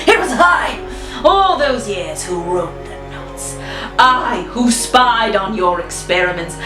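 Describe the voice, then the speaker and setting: A woman voicing a scheming seventy-year-old villainess who gloats in a drawn-out, theatrical way. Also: mid-range voice, lively intonation. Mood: gloating. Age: 70s. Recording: roomy, off-mic, music behind.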